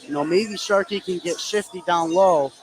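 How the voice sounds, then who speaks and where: Higher-voiced male basketball color commentator. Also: normal speed. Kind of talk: conversational live sports analysis.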